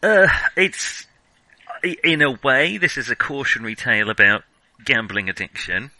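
A man's voice: medium pitch, big pitch swings, moderate pace, sharp tone, dry recording, poor audio. A man discussing film in conversation, reflective and hesitant as he thinks aloud. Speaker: podcast host.

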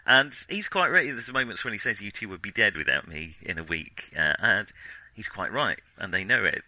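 A man with a fairly low but very sharp, bright voice. He talks as a podcast co-host in casual film discussion, enthusiastic and conversational. The sound is dry and close. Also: animated, normal speed, no echo, rough recording.

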